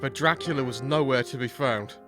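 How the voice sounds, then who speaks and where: An actor playing an earnest young gentleman in a gothic audio drama, solemn and measured as he tells the tale. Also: mid-range voice, over music, lightly acted. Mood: solemn narration. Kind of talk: audio drama narration and acting.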